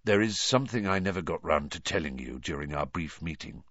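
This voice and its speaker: A man voicing a fugitive wizard godfather reading out his letter in a measured, earnest way. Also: sits low, lively intonation, a bit bright, dry recording, dull audio, voice pushed down.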